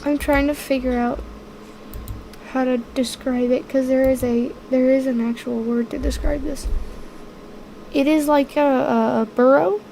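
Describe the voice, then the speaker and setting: A woman's voice: high-pitched, fairly even intonation, measured pace, gravelly, warm tone, a little hiss or hum. A woman acting as game master, describing scenes hesitantly while searching for words and laughing easily. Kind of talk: improvised tabletop game narration.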